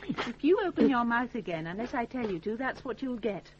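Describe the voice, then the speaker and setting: An actress playing a brisk, forthright young woman who takes charge in a radio drama, firm and commanding. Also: on the high side, expressive. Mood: scolding, firm. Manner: commanding, firm, crisp retorts. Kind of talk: 1980s radio drama acting. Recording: dull, noisy old radio recording.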